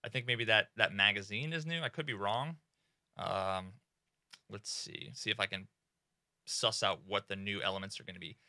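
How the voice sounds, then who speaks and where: A young man with a bright, sharp, rather low voice chats about game gear from a small home streaming room. He talks excitably, drops swear words and fills pauses with "uh". The sound is close and dry at a desk mic. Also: dry recording. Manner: excitable, swears freely, many fillers. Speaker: gaming streamer. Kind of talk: casual gaming commentary.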